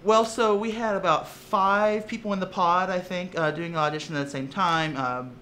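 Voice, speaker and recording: Bright, animated man telling stories. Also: high voice, normal speed. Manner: animated, laughing storyteller with many restarts. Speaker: tabletop player and voice actor. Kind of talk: casual interview chat.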